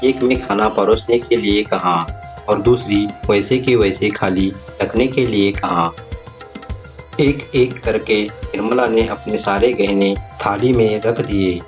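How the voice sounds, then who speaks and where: A man's voice: on the low side, fairly even intonation, slow pace. A man narrating a scripted moral tale as a storyteller. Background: faint music.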